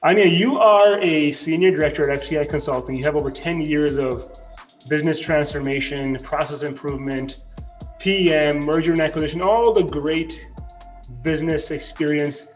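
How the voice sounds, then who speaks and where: A man's voice: fairly high pitch, normal speed, faint music behind. A man hosting a podcast interview, enthusiastic as he introduces his guest and asks questions. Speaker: podcast host.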